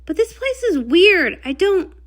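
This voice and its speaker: Woman as a high, fretful young pony. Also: big pitch swings, unhurried, exaggerated voice. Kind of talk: comic read-along character voice.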